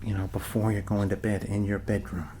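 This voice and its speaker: A man's voice: fairly low pitch, little pitch movement, brisk, noisy background, lightly acted. A man playing a friendly doctor in an ASMR roleplay, reassuring his patient in hesitant, rambling talk with joking asides. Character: friendly rambling male doctor treating a zombie bite. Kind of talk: ASMR roleplay, improvised soft bedside talk.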